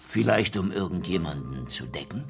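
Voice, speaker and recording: An actor playing a wry, world-weary middle-aged investigator in a noir radio drama, dry and laconic, with a gravelly voice. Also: male voice, deep voice, expressive, normal speed. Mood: probing. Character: wry, hard-boiled, world-weary older investigator in Moscow. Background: music.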